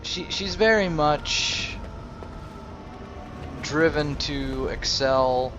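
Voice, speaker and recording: A man giving role-play commentary on a video game, musing and halting as he thinks aloud. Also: a little high, dull audio. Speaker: gaming video host. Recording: game music behind the voice. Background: video game music and effects.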